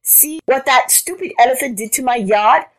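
Woman voicing a shrill, indignant little girl rabbit. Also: moderate pace. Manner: whiny, protesting, exclaiming. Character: fussy, indignant female rabbit, small and shrill. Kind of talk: animal folktale storytelling.

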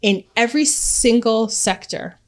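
A woman's voice: a little high, measured pace. A woman from Ghana whose first language is Twi, telling personal stories as an interview guest and laughing often. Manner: storytelling, laughs often, animated. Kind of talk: casual interview.

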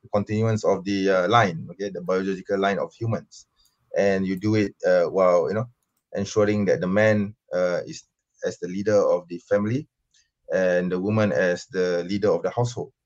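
Deep-voiced guy from malaysia arguing his side online, lots of uh and um, kinda muffled audio. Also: steady tone, moderate pace, darkish tone. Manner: insistent, with many fillers and restarts. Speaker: debater. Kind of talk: online debate answering questions.

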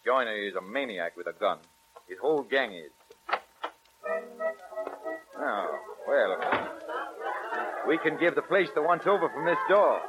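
An actor playing a dogged, commanding police chief with urgent delivery in 1940s radio crime drama. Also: male voice, mid-range voice, very animated, unhurried, pauses often, fairly bright tone, faint music behind, some chatter behind. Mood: weary determination. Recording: muffled 1940s radio recording.

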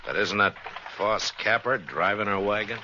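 A man playing a steady, plain-spoken frontier marshal with an American accent and firm authority, in an old radio Western. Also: fairly low pitch, moderate pace, close to an everyday voice. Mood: measured, wry. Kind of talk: 1950s radio Western acting. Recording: dull, hissy old radio recording.

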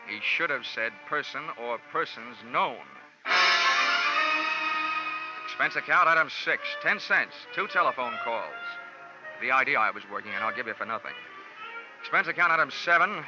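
A man's voice: medium pitch, lively intonation, normal speed, natural voice. An American man playing a cynical, wisecracking insurance investigator in hardboiled 1940s radio style, with clipped, sardonic delivery. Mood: dry narration. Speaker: lead actor and narrator of a detective radio series. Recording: muffled vintage radio recording, music.

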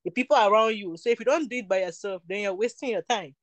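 A woman's voice: mid-range voice, quick pace, dry recording. A young woman from Cameroon, a beauty entrepreneur, talking candidly in an interview, emphatic and repeating her points. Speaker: guest, beauty product entrepreneur. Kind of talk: candid casual interview talk.

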